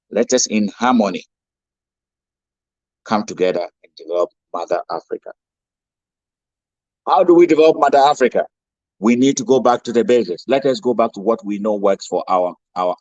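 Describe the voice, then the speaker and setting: A man's voice: lowish voice, darkish tone, breathy edge, no echo, highs cut off. A man from Ghana with a Ghanaian accent, giving a rousing speech as a guest speaker, full of rhetorical questions and repetition. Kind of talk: impassioned speech.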